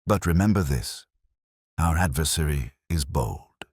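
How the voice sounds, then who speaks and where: A large, imperious older detective, acted by a man speaks in formal, terse pronouncements. The voice is extremely deep and husky, deliberate and grumpy, in the style of a mystery audiobook. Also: animated, darkish tone, no room sound, studio quality, heavily acted. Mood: grave, commanding.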